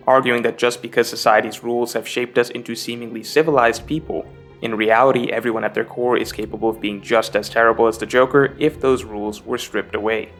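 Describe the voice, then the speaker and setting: A man's voice: mid-range voice, a bit bright. Articulate man narrating a video essay over music. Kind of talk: scripted narration, film analysis video essay.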